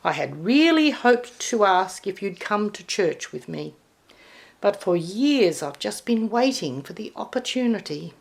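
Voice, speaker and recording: A woman's voice: mid-range voice, big pitch swings, measured pace, gravelly. A woman voicing a cheerful, sheepish male Easter Bunny in a storybook poem, chummy and casual. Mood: apologetic.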